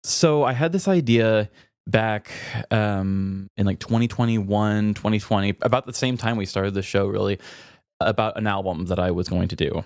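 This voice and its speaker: A man with a slightly low-pitched voice talks as a podcast co-host. He is candid and introspective, spinning out long reflective thoughts in casual language. The sound is close and dry. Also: moderate pace, smooth, no room sound.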